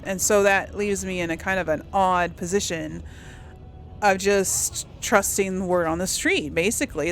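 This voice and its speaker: A woman's voice: lowish voice, vocal fry. A woman giving casual commentary on a role-playing game, thinking aloud in a conversational way as its host. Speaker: gaming channel host.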